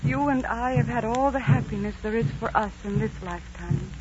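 An actress playing a noble, steadfast young Aztec princess in earnest 1940s radio acting style. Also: female voice, on the high side, fairly quick, natural voice. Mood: tender, resolute. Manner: earnest, solemn, theatrical. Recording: muffled 1940s radio recording, faint noise.

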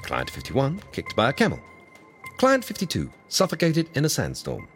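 A man playing an excitable, fussy proprietor of a secret agency in the ancient world, theatrical, flustered and courteous, in a comic audio drama. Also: mid-range voice, normal speed, music in the background. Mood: brisk, imperious.

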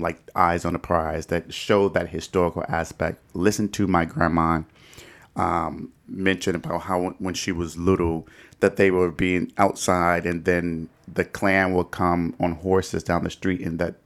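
Middle aged american guy hosting a chat podcast, pretty low voice. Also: a bit bright. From Detroit, United States. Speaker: podcast host. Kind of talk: conversational discussion and interviewing.

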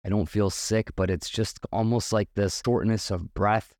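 Middle-aged guy doing his podcast solo, hoarse and kinda losing his voice, low and dry. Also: steady tone, moderate pace, a little mellow, no echo. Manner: earnest, motivational, with many restarts. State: losing his voice, slight cough. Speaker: podcast host.

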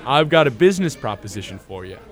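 An actor playing a cocky young hustler with smooth salesman patter. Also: medium pitch, very animated, fast, soft background music, natural voice. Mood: slick, scheming. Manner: smooth-talking put-on gangster salesman patter. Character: cocky young male hustler running a guessing racket.

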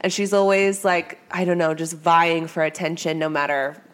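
A woman's voice: lowish voice, little pitch movement, moderate pace, clean tone. A woman hosting a casual true-crime podcast. She sounds congested from a cold and tired, and she talks in a chatty, hesitant way full of fillers. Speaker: podcast host.

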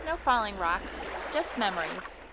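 A woman's voice: medium pitch, big pitch swings, a little slow, bright, forceful tone, slight background noise, exaggerated voice, poor audio. A woman playing a dead wife of forty returned as a memory in an audio drama, nostalgic and reproachful, with pointed questioning. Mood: nostalgic, matter-of-fact.

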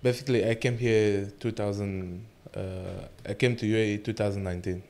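Guy in his 20s, kinda low voice, slow halting answers, lots of uh's. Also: fairly even intonation, a little mellow, a little hiss or hum. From Cameroon. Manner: halting, simple non-native phrasing with many fillers. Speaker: guest arm wrestler. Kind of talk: casual interview answers.

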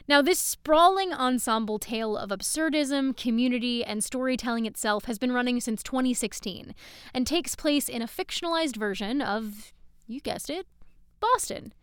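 Woman podcast host, chatty and enthusiastic, smooth voice, dry close sound. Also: fairly high pitch, moderate pace, fairly bright tone, dry recording. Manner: enthusiastic, chatty with small fillers. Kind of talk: casual promo introduction.

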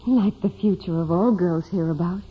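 A devout young peasant girl in a vintage radio play. Her voice is very husky and soft, rather low, and she speaks with simple, humble sincerity. The sound is muffled like an old broadcast. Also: normal speed. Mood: humble, earnest. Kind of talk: 1940s radio drama acting.

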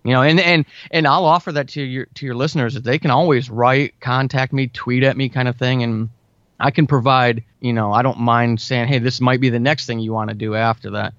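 A man's voice: medium pitch, fairly even intonation, fairly quick, a bit bright, no echo. A man speaking as a podcast guest, giving informal, conversational advice step by step in a casual interview. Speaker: podcast guest, writer and audio drama podcaster. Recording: dull, lo-fi, dry close sound.

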